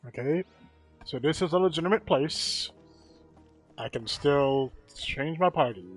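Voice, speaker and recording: A man's voice: a little high, a little slow, faint music behind. Casual sweary male game streamer. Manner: sweary, exasperated asides. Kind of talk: casual gameplay commentary.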